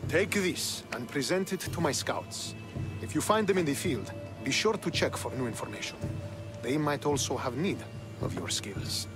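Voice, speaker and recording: Man acting a stern Spartan commander, higher-pitched. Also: lively intonation, normal speed, music in the background, natural voice. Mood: commanding, grudging. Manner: commanding, clipped, distrustful. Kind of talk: dramatic video game acting.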